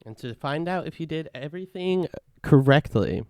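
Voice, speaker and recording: A man gives a casual, step-by-step software walkthrough in a smooth, resonant voice. The sound is dry and close, with no room around it. He keeps a relaxed, instructive tone. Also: a little high, normal speed, no room sound. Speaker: tutorial host.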